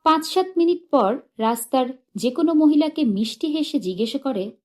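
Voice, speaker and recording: A woman narrating a humorous short story in an expressive, measured and dramatic storytelling manner. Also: sits high. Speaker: audiobook narrator.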